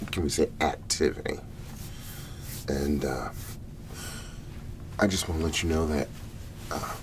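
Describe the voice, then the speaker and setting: A hesitant, reassuring government agent, played by a man for an ASMR roleplay. The voice is extremely deep and slow, slipping between creaky murmur and soft whisper. The delivery is halting and careful, placating the listener with long pauses. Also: background noise, heavily acted. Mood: hesitant, diplomatic. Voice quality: soft, breathy half-whisper with gravelly low murmur.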